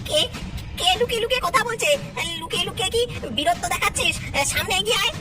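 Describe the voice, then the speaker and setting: A woman's voice: very high voice, animated, fast talker. A woman voicing a cocky but easily scared cowherd in a cartoon, blustering and full of exclamations. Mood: alarmed, blustering. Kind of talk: cartoon comedy voice acting. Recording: distant voice under loud music.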